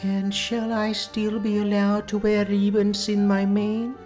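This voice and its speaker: Silly, vain young mare for an audiobook, done by a man: raised pitch, naive and pleading. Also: completely flat delivery, measured pace, darkish tone, faint music behind. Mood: naive, hopeful.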